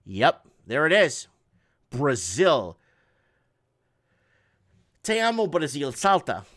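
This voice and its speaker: A middle-aged man whose first language is Portuguese, hosting an online news show and reading headlines aloud in a halting way, with frequent restarts and casual asides. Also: fairly high pitch, wildly swooping pitch, fairly bright tone, no room sound, studio quality.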